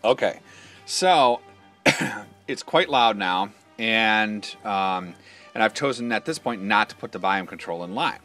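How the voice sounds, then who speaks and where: Low-voiced man giving a hands-on walkthrough. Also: expressive, unhurried, pushed and bright, faint music behind. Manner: matter-of-fact, explanatory. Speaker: product demonstrator. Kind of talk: informal hands-on instructional walkthrough.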